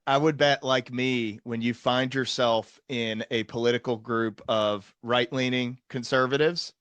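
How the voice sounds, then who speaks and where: A man speaking as a podcast host in an earnest, conversational way, deliberate and emphatic. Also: mid-range voice, fairly even intonation, fairly bright tone, clean tone, no room sound, lo-fi sound.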